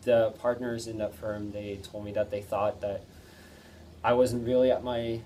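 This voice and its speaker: A Dutch man in his thirties answering interview questions in a relaxed, hesitant way, with many ums and self-deprecating laughs. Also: fairly low pitch, moderate pace, recorded from a distance, slight background noise. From Netherlands. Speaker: interview guest. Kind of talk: casual interview chat.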